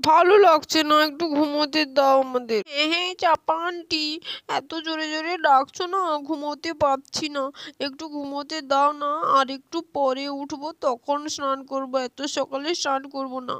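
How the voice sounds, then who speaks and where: Woman doing a very high whiny little girl. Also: steady tone, moderate pace, a bit bright, dry recording, studio quality. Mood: sleepy, whiny. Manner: whining, pleading. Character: sleepy, whiny, stubborn little girls.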